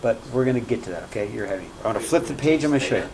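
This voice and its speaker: A man teaching a math class, lively and repetitive, often checking in with "okay?" and "right?". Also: medium pitch, rapid-fire, noisy background. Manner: energetic, repeats key points, asks "okay?" and "right?" often. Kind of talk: classroom lecture.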